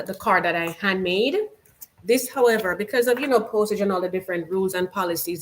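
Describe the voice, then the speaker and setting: A woman's voice: lowish voice, slight background noise. A woman from Jamaica with a Jamaican accent, hosting a casual home livestream, reading viewer comments aloud in an upbeat, chatty way full of fillers. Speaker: YouTube channel host.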